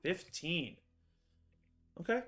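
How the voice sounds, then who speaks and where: A man's voice: a little high, sing-song, fairly bright tone, faint music behind. A young man narrating a tabletop game as game master, hesitant and full of fillers. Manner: halting, many fillers and restarts.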